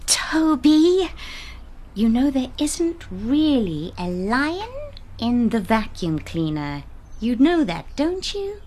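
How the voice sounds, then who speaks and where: Woman acting a high-voiced, dramatic storybook mum. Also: slow pace, a little hiss or hum. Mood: gently doubting. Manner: dramatic, expressive, motherly. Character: exasperated but loving young boy's mother in storybook. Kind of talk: children's storybook character acting.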